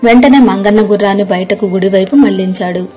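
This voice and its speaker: A woman's voice: mid-range voice, fast talker, mellow, soft background music. A woman narrating a scripted story.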